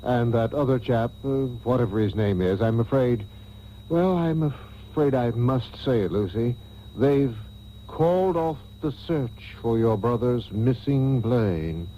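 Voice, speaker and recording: An actor playing a pompous, fretful wealthy middle-aged father, halting and melodramatic, in a radio soap parody. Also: mid-range voice, animated, lightly acted. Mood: halting, grave. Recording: dull old radio tape, faint hum.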